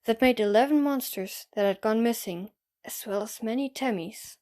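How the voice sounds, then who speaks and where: A woman's voice: fairly high pitch, moderate pace, a little mellow. A woman narrator reading scripted story narration for an audio drama.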